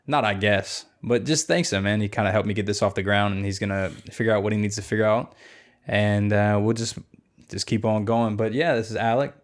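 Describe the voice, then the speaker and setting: A man's voice: on the low side, steady tone, fairly quick. A young man hosting a casual podcast, rambling with lots of fillers and restarts. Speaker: podcast host.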